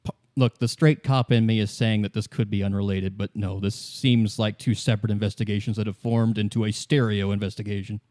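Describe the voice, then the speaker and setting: A man's voice: on the low side, fairly even intonation, fairly quick, darkish tone, no echo, studio quality, natural voice. A man playing a level-headed, by-the-book police partner in a tabletop roleplay, reasoning things through. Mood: considering.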